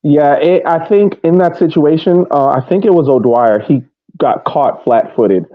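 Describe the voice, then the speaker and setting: A man's voice: a little high, unhurried, no room sound, phone-quality sound. A man on a podcast panel giving a casual breakdown of a soccer match, over a thin connection.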